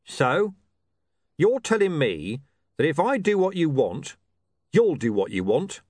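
A gruff, commanding police chief, voiced by a male narrator for a storybook. He is curt, suspicious and quick to swear, with the voice pushed up high and swinging widely in pitch. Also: sing-song, darkish tone, dry recording, studio quality, exaggerated voice. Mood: suspicious, irritable.

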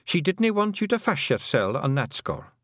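A man's voice: a little high, very animated, moderate pace, dry recording, studio quality, pitched above the performer's own voice. A man voicing a tiny, proud and determined female pixie with a Scottish accent in a storybook reading. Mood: assured.

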